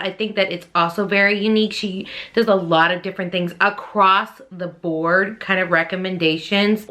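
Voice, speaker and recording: A woman in her thirties from Texas with a Texan accent, chatting quickly and casually to camera about books she wants to read. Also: medium pitch, pushed and bright. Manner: chatty, quick, addresses viewers as y'all. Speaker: book vlogger.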